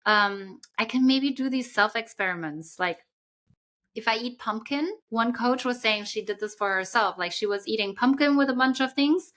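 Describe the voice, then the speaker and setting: A young woman co-hosting a casual podcast chat, explaining at length with frequent ums and pauses. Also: on the high side, a bit bright. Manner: thoughtful, explains at length with many fillers. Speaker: podcast co-host.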